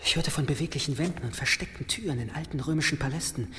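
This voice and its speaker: A man's voice: fairly high pitch, fast talker, bright, forceful tone. An actor playing an obsessive, learned man in an audio drama, muttering and interrupting himself as he works. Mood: absorbed, excited. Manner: muttering, absorbed, self-interrupting. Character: obsessive learned man, excited by hidden mechanisms. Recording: long cavernous reverb, faint noise.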